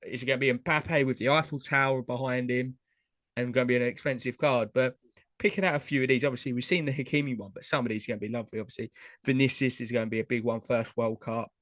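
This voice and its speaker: A man talks casually on a podcast, rattling through lists of names with plenty of fillers. His voice sits at a middle pitch. The recording is dry and close, with no room sound. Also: steady tone, fast talker, a bit bright, no echo. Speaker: podcast co-host. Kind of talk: casual chat about trading cards.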